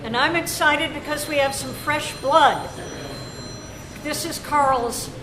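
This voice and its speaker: Slow, higher-voiced woman in an echoey, noisy room. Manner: unscripted, halting, joking asides. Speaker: club meeting host. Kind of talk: informal spoken announcements to a club meeting. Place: indoor club meeting room. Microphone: camera microphone at a distance. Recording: echoey room, clear background noise, camera microphone.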